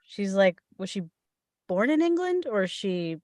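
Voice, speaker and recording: A woman's voice: medium pitch, very animated, a little slow, warm tone, no room sound, studio quality. A woman chatting casually in a group conversation.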